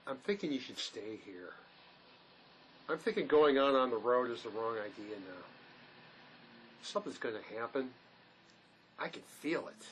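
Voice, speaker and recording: A man's voice: medium pitch, very animated, moderate pace, long pauses, slight background noise, close to an everyday voice, poor audio. A man acting an anxious, remorseful fugitive who plays peacemaker in a drama reading, earnest and pleading. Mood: worried, challenging.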